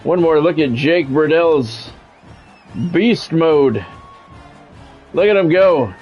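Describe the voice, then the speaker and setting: A man with a slightly high voice calls a football video game play-by-play in a practiced radio style, mixing in casual swearing and shout-outs to stream viewers. The stream audio sounds a little dull and lo-fi, with faint game music under it. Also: animated, slow. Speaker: livestreaming play-by-play commentator.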